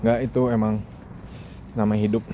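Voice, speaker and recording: A man's voice: lowish voice, little pitch movement, moderate pace, warm tone, slight background noise. A young man from Indonesia talks casually in a joking monologue vlog, mixing in English words. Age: young adult. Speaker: vlogger, international student.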